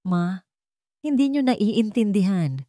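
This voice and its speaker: A woman's voice: medium pitch, very animated, slow pace, dark tone, no room sound, studio quality, voice pushed up. A woman voicing a proud, desperate young mother in a radio-style story, speaking with firm emphasis. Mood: tearful fear.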